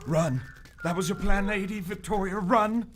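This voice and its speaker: An actor playing an exasperated, timid society clerk with a formal, sarcastic manner in a live radio adventure. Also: male voice, high voice, normal speed, fairly bright tone, a little hiss or hum. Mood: indignant, alarmed. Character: exasperated, timid male society clerk fleeing through jungle. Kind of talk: old-time radio adventure acting, live theater.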